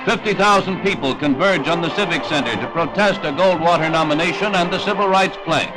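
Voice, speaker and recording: An American man narrates a 1960s newsreel in a formal announcer's style. His voice sits a little high, and clearly audible music plays behind him as he reads his script. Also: a little fast, rough recording.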